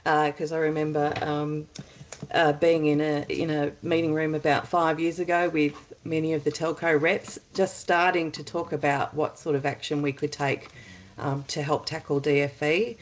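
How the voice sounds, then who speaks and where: An Australian woman opens an online forum, her voice husky from a head cold. She talks in a chatty, loose way, full of ums and uhs. The sound is a little dull, as on a video call. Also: deep voice. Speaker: webinar chair. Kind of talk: webinar opening remarks. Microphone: computer mic on a video call.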